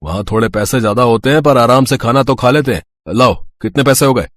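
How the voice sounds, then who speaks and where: A man's voice: medium pitch, brisk, a bit bright, no echo, studio quality, lightly acted. A man playing a disgruntled, blunt customer at an eatery in a moral story. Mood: grumbling. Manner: blunt, complaining. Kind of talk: dramatised moral story acting.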